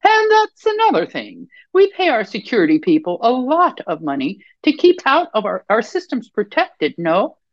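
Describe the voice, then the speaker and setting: A woman's voice: on the high side, huge pitch swings, moderate pace, few pauses, dry recording, highs cut off, pitched above the performer's own voice, exaggerated voice. A woman voicing a poised, scheming French woman executive in her fifties who questions coldly and insistently, with a French accent. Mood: probing. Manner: cold, probing, insistent.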